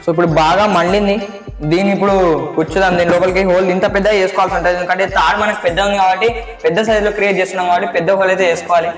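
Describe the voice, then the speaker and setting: Fast, high-pitched Telangana Telugu man vlogger. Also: little pitch movement. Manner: repetitive step-by-step explaining, informal. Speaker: host of a DIY experiments channel. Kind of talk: casual how-to vlog narration. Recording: echoey sound with music behind.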